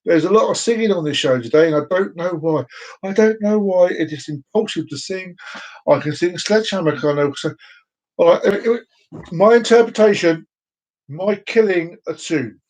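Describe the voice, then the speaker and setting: A man's voice: sits high, animated, normal speed, darkish tone. A man hosting a casual solo live stream, rambling and self-mocking, breaking into off-key singing now and then. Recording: dull lo-fi sound.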